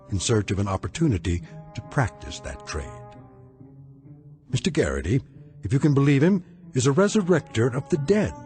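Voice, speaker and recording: An actor narrating an eerie radio anthology as a wry, knowing host, measured and portentous, with an American accent. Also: male voice, medium pitch, a little mellow, close to an everyday voice. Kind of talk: scripted radio drama narration.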